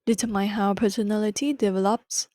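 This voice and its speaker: A woman's voice: medium pitch, fairly even intonation, brisk, mellow, dry recording, clean studio sound. A young woman with a Thai accent hosting a solo podcast, explaining a book carefully in non-native English and correcting herself as she goes. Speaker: podcast host.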